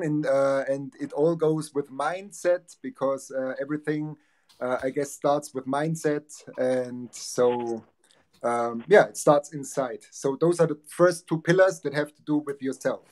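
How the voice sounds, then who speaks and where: A man's voice: on the high side, a little slow, a little mellow, a little hiss or hum. A man in his forties with a German accent, earnestly explaining his ideas as a guest on a video call. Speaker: guest, relationship coach. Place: home office with bookshelves.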